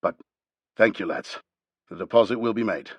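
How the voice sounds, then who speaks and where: A man's voice: lowish voice, husky, no room sound, dull audio. A man reading aloud, voicing a reserved old male warrior speaking solemnly. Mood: grateful. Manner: measured, restrained.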